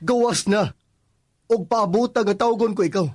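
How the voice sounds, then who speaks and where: Curt Filipino master of the house. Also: male voice, high voice, measured pace. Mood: curt, dismissive. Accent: Filipino, Visayan. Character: curt, brooding male boss of the household. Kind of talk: radio drama acting.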